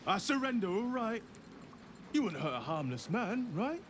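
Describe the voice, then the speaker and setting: A cornered, sarcastic man in a fantasy game. He pleads in a high, very animated voice over noisy ambience. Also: moderate pace. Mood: bitter pleading. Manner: pleading, incredulous, snide. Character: panicky sarcastic cornered man begging for help. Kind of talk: dramatic video game acting.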